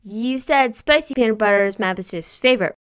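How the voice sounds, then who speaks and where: A woman voicing an easygoing, matter-of-fact academy student girl in a fan fiction story, speaking calmly. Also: a little high, a little fast, clean tone, no room sound, clean studio sound.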